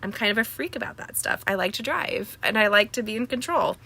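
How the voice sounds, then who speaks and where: A woman's voice: mid-range voice, lively intonation, fairly quick, vocal fry, sharp tone, breathy edge. A middle-aged woman hosting a live craft class, chatting casually with viewers, greeting them by name, with plenty of filler words. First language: Spanish.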